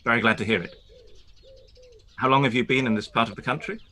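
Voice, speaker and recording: A man voicing a proud young gentleman, stiff and stammering, in a live-read radio play. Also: mid-range voice, fast talker, close to an everyday voice. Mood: awkward. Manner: stammering, awkward.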